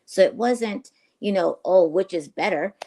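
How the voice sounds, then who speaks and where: A woman co-host on a morning talk show who speaks candidly and in a relaxed way. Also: fairly low pitch, a little slow. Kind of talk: casual radio talk.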